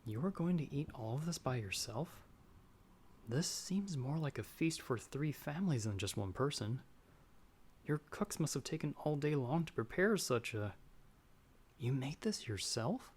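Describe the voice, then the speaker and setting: A man's voice: medium pitch, expressive, a little slow, slight background noise, close to an everyday voice. A man playing a humble young farmer's son in an audio roleplay, speaking hesitantly with courteous, old-fashioned formal phrasing. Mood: curious, polite. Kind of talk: ASMR audio roleplay acting.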